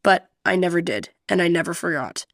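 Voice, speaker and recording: A woman's voice: fairly low pitch, lively intonation, brisk, creaky, fairly bright tone, dry recording, studio quality, pitched below the performer's own voice, heavily acted. A woman doing a stern, businesslike detective. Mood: earnest. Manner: authoritative, businesslike. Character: stern male detective, dutiful and protective.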